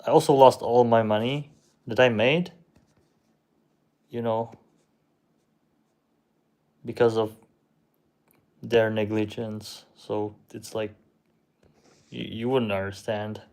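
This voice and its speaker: Slow hesitant young man reading chat. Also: lowish voice, steady tone, darkish tone. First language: Czech. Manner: slow, hesitant, mumbling, shrugging replies. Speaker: livestream host reading chat. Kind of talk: slow casual livestream chat.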